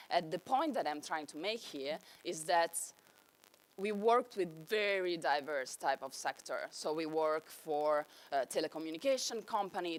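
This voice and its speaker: A young woman with an Italian accent giving an enthusiastic conference talk on stage. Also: deep voice. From Italy. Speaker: conference speaker.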